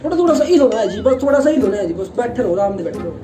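A man's voice: extremely high pitch, very animated, very fast, echoey room, music in the background. A young man playing a brash barber in a comic sketch, bossing and stalling his customers in a small shop. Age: young adult.

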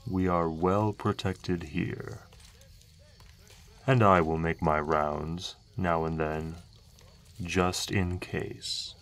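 A man playing a calm, reassuring dragon merchant in a measured way for an ASMR audio roleplay. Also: deep voice, heavily acted. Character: calm male dragon merchant guarding a desert camp.

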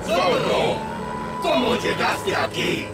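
High-pitched, menacing masked villain. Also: male voice, animated, slow, over loud music, exaggerated voice. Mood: outraged. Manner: melodramatic, threatening. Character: menacing masked male villain, hot-tempered gang leader. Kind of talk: animated cartoon dubbing.

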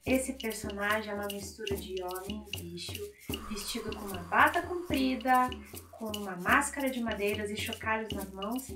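A young woman from Brazil tells a folk tale and teaches rhythm to children, slow and emphatic on key words, seated on the floor of a bright room and recorded by a camera some distance away. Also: mid-range voice. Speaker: music teacher. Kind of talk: storytelling lesson for children. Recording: echoey room, noisy, mic far off.

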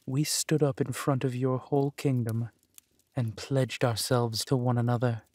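A man playing a possessive, menacing villain husband who is tender to his spouse, in a slow, soothing, theatrical manner for a roleplay audio drama. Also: mid-range voice, little pitch movement, a little mellow, no room sound, lightly acted.

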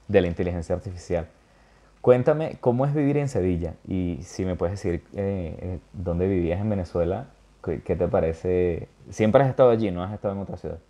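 A man hosting a casual interview, talking thoughtfully with many pauses, fillers and little check-ins. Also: low voice, mellow. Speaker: interview host. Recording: dull lo-fi sound.

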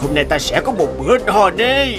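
A man's voice: high-pitched, very animated. A man voicing a menacing, boastful tiger in a cartoon fairy tale. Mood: menacing, gloating. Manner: boastful, taunting, drawn-out threats. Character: menacing tiger who threatens, then pleads. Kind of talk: cartoon voice acting. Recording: loud music bed behind voice.

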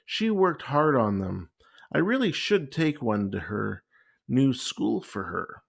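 Guy doing a nice mellow man teacher from a kids book, talking to himself, slow and gentle. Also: mid-range voice, big pitch swings, creaky, full character voice. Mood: musing. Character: kindly, mild male schoolteacher musing to himself.

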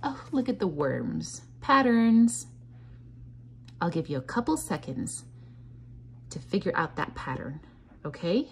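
A woman's voice: lowish voice, sing-song, slow, pauses often, a little hiss or hum. A woman teacher leading a children's read-along lesson, encouraging, asking questions and chanting patterns aloud. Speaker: teacher reading a picture book to children. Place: indoors at a table.

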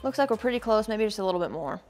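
A woman's voice: medium pitch, expressive, very fast. Woman talking thru an oil change in her garage, easygoing, pokes fun at herself, echoey shop with long reverb, faint music behind. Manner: chatty, self-deprecating, laughs off mishaps. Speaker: car vlogger doing her own maintenance. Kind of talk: casual how-to narration while working. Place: home car garage with a lift.

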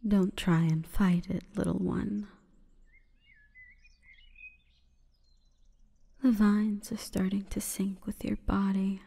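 A woman voicing an imperious, calm forest goddess in a breathy, whispering ASMR roleplay, with stately, measured delivery. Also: medium pitch. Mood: softly menacing, coaxing. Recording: long reverberant echo.

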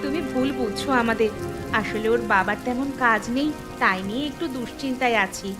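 A woman voicing a gentle, anxious village mother in a cartoon fairy tale, polite and homely. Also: a little high, fairly quick, over music, natural voice. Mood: worried. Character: gentle, worried village mother, hospitable and poor.

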